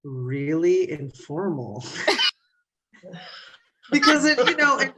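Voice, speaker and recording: A woman's voice: on the low side, big pitch swings, takes it slowly, very noisy. A woman guest in a casual chat who talks hesitantly with frequent restarts.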